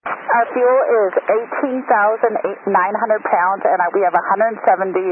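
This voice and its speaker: A woman's voice: mid-range voice, steady tone, normal speed, noisy background. A woman airline pilot making businesslike, procedural calls over an aircraft radio. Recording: narrow, tinny aircraft radio.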